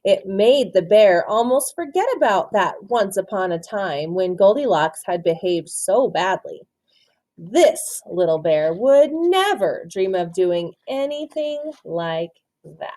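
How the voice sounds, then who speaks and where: A woman teacher reading a picture book to children expressively, pausing to question and explain to her young listeners. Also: medium pitch, lively intonation, dark tone, no echo. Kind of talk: interactive children's storybook read-aloud.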